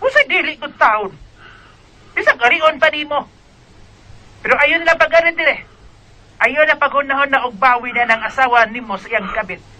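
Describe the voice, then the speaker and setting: An actress playing a scornful, sharp-tongued woman in a Bisaya radio drama, scolding with emphatic delivery and a Filipino accent. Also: high voice, normal speed, pauses often, low-quality recording. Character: scornful, sharp-tongued woman breaking bad news to a man.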